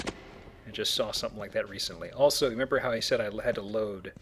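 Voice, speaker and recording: A man's voice: fairly low pitch, a little fast, fairly bright tone, a little hiss or hum. A man giving casual, goofy let's play commentary, rambling along as he plays. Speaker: let's play host, playing the game. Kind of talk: casual chat commentary over gameplay.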